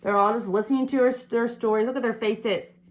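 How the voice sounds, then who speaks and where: Woman, higher-pitched, preschool storybook reader who pauses to explain and question. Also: fairly even intonation. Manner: explains pictures and asks listeners questions. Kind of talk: read-aloud picture book with chatty asides to young children.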